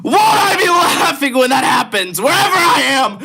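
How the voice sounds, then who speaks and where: A man's voice: very high voice, very animated, moderate pace, creaky, raised voice, heavy background noise, exaggerated voice. A young man playing a desperate, bitter condemned prisoner in a vintage radio drama, stammering and overwrought. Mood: bitter, vengeful. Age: young adult.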